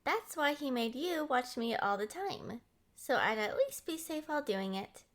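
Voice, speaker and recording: A young woman acting a playful, headstrong, lovestruck princess in an expressive roleplay audio. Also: sits high, moderate pace. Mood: playful, eager. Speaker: voice actor.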